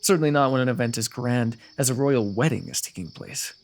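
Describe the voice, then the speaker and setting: A man performs a confident male fantasy noble, a former rival who has become an ally. His mid-pitched voice has a gravelly creak, and he speaks formally and wordily, always playfully goading, in the manner of an ASMR roleplay. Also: expressive, fairly quick. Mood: wry, conversational.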